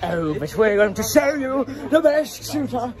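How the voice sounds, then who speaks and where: Excitable young man vlogging at a theme park. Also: very high-pitched, a little slow. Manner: excitable, repeats phrases, laughs often. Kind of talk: casual theme park vlog. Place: outdoors at a busy theme park. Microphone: phone held at arm's length. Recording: music and crowd noise behind.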